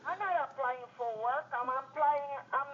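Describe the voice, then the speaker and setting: A woman's voice: high-pitched, normal speed, fairly bright tone. A woman from Ecuador with a Spanish accent and Spanish as her first language, indignant and insistent, repeating her questions on a phone call. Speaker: call recipient. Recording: muffled recorded phone call.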